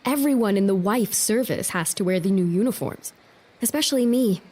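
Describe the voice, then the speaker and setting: A woman's voice: medium pitch, expressive, brisk, darkish tone, close to an everyday voice. An actress playing a determined, restless young noblewoman in an audio drama, speaking earnestly. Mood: brisk, resolute.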